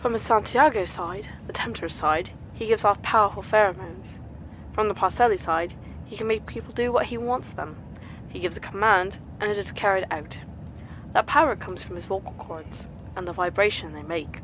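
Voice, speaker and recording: A woman's voice: mid-range voice, moderate pace. A woman as a cool, muffled earpiece adviser. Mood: cool, composed. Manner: calm, precise, explanatory. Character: cool, composed female mission adviser on an earpiece. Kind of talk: audio drama acting. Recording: band-limited earpiece sound, faint background noise.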